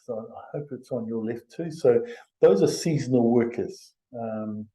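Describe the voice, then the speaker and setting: A man from Samoa, giving an informal webinar presentation in a halting, conversational way with frequent pauses. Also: mid-range voice, mellow, poor audio. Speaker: health and safety presenter.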